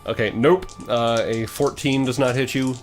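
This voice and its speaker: Male game master, medium pitch, improvised fantasy combat narration mixed with rules talk, music underneath. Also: steady tone, normal speed. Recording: music behind the voice.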